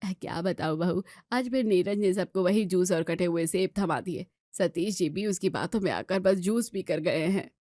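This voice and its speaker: A woman's voice: fairly low pitch, moderate pace, few pauses, dark tone, dry recording, lightly acted. A woman plays an older Indian mother-in-law in a family storybook drama. She grumbles with matronly concern and disapproval. The recording is dry and close. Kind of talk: acted Hindi family story dialogue.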